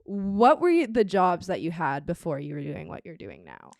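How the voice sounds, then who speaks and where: Woman, 20s, podcast host, gushing, giggly, very lively pitch. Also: creaky. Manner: gushing and giggly, quick to relate. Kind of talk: casual interview chat.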